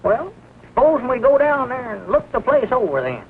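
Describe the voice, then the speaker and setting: High-voiced country sidekick, old film. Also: male voice, big pitch swings, normal speed, heavily acted. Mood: eager. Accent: rural Southern American. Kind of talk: 1940s film comedy acting. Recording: dull, noisy old film soundtrack.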